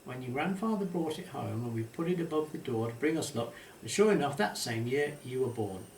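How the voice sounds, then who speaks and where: A man voicing an affectionate, excitable old grandmother who talks in fond, folksy country dialect, in an echoey recording. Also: medium pitch, brisk, a little hiss or hum, natural voice. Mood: fond, explaining. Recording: roomy, echoey, distant home recording.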